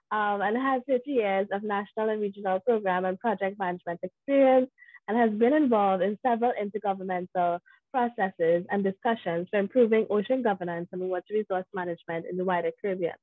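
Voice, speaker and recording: A woman's voice: mid-range voice, dry recording. A woman hosting an online panel, introducing a guest in a chatty, conversational way. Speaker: host. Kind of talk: casual moderator chat. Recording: tinny phone-like call audio.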